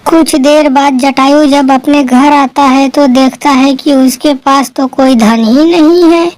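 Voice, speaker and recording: Slow, high-voiced woman storyteller. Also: fairly even intonation, a little mellow, no echo. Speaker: narrator. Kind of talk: scripted children's story narration.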